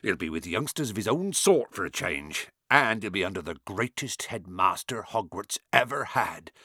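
A man voicing a big, gruff, warm-hearted giant gamekeeper with rustic colloquial speech, in an audiobook. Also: on the low side, sing-song, moderate pace, no echo, clean studio sound, voice pushed down, exaggerated voice. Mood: indignant. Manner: rustic colloquial speech, hesitant mumbles, growling and thundering. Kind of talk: audiobook character acting.

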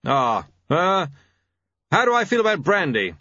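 A man's voice: high voice, very animated, a little slow, sharp tone, no echo, highs cut off, voice pushed up, heavily acted. A man voicing an aging, henpecked god of war for a storybook, forgetful, hesitant and sheepish. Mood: sheepish, muddled.

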